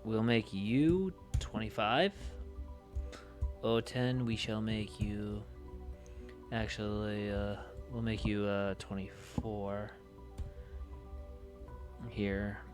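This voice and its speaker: A man livestreaming casually, thinking aloud in a halting way that moves between muttering to himself and explaining. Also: fairly low pitch, faint music behind.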